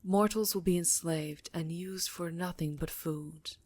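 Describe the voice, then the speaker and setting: A woman voicing a possessive vampire countess in an ASMR roleplay, speaking in a deliberate, breathy half-whisper, seductive and menacing. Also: lowish voice, a little mellow. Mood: grave, urgent.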